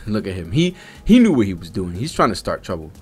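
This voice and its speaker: A man's voice: low-pitched, very animated, normal speed, slightly rough, soft background music. A man in his thirties gives casual, slangy, profane live reaction commentary into a close desk microphone, reading panels aloud as he goes. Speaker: manga reaction streamer. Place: small indoor streaming setup. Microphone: close desk-arm microphone.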